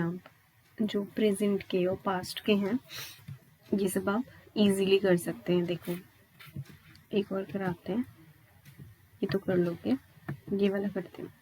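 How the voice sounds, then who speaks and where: A woman's voice: mid-range voice, a little mellow, a little hiss or hum, rough recording. A woman with an Indian accent teaching grammar step by step, often checking with questions that listeners follow. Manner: instructional, checks understanding with frequent questions and tags. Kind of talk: exam-prep lesson, explaining step by step.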